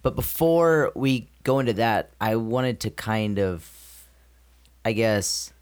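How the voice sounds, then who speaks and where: A man with an American accent, hosting a casual interview and asking his questions in a halting, hesitant way. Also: on the low side, expressive. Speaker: interviewer, host.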